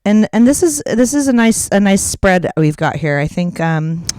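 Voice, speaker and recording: A woman co-hosting a comedy podcast in casual chat, with a smooth radio voice, often laughing and trailing off mid-sentence. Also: mid-range voice, big pitch swings, moderate pace, darkish tone. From Seattle area. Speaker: podcast co-host.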